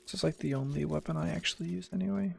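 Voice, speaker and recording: A man's voice: on the high side, very fast, creaky, darkish tone, faint music behind. A young man giving casual, sarcastic commentary while streaming a video game, often muttering asides.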